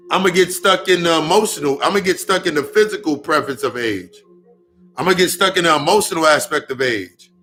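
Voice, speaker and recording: A man in his mid-thirties talks through a loose spiritual monologue in a slightly high, bright and forceful voice. He swears freely and circles back over his own phrases to hammer them home. Faint music with ringing bowl tones sits behind him. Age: 30s. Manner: profane, repetitive, emphatic. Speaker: spiritual speaker.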